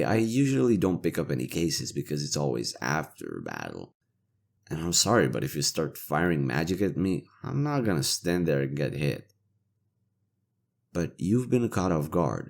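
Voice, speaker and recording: A cynical imperial interrogator, acted by a man in a fantasy audio roleplay. His voice sits extremely low and crackles with gravelly fry, yet it moves with lively intonation. He talks in a sardonic, weary, conversational way, as a soldier who still has a conscience. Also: exaggerated voice. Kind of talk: roleplay audio drama monologue.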